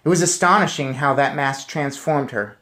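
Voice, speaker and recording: A man's voice: medium pitch, normal speed, sharp tone. A man reading a story aloud and commenting on it as narrator. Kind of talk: read-aloud narration with casual recap.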